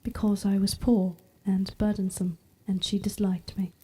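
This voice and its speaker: Soft-toned, reserved young heroine. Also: female voice, medium pitch, flat delivery, husky. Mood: composed, resolute. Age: young adult. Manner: measured, earnest, deferential. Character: reserved, principled young woman in service, quietly firm. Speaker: actor in an audio drama. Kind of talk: period audio drama acting.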